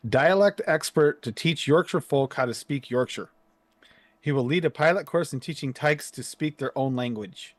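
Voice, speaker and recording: A man's voice: fairly high pitch, normal speed, no room sound. A man co-hosting a casual livestream chat, teasing and reading out items for the viewers.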